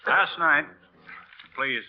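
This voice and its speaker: A man playing a sharp, alert young police detective who makes clipped, deliberate official announcements in a 1940s radio drama. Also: fairly high pitch, a little hiss or hum. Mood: brisk, probing. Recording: dull old-time radio recording.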